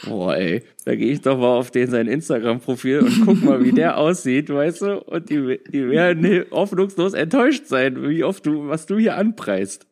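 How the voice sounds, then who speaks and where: A lively-voiced man chats casually on a podcast from his couch, his pitch swinging freely as he talks. He hesitates with many fillers and restarts and laughs often. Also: moderate pace, few pauses. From Germany. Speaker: podcast guest. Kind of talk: casual chat. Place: living room, on a couch. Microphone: laptop call.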